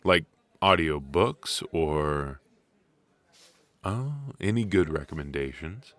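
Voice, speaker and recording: Aktor grający towarzyskiego faceta o bardzo niskim głosie. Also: male voice, a bass voice, fairly bright tone, no echo, heavily acted. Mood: curious, easygoing. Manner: conversational, reassuring, with pauses for an unheard listener. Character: friendly, chatty extroverted man at a coffee shop mixer. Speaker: voice actor in an audio roleplay. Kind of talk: ASMR roleplay, casual one-sided conversation.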